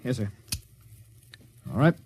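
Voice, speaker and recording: A man's voice: slow pace, lightly acted. An actor playing a dutiful junior crewman in a 1950s radio drama, deferential and a little stammering. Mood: puzzled, deferential. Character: dutiful, hesitant male junior ship's crewman. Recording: noisy 1950s radio broadcast recording.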